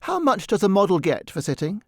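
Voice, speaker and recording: A man reading a storybook plays a good-natured, naive young gentleman with a light, earnest manner. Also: high-pitched, big pitch swings, a little fast, no room sound, studio quality, voice pushed up, full character voice. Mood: curious.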